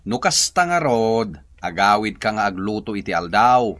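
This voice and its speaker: A man's voice: lowish voice, big pitch swings, normal speed, fairly bright tone, dry recording. A man plays a lazy, greedy, teasing husband in an Ilocano radio drama. His Ilocano-accented voice moves up and down freely, recorded close and dry.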